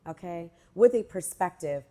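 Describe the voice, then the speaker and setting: A woman's voice: fairly low pitch, moderate pace. Emphatic nasal-voiced woman. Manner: emphatic and animated, acts out quotes. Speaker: podcast co-host. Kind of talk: candid casual chat.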